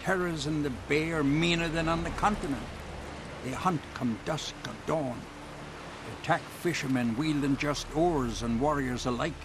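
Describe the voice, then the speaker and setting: A man's voice: on the high side, moderate pace, background noise, natural voice. A man voicing a grim, plain-spoken seafaring villager in a fantasy video game, grave and folksy in manner.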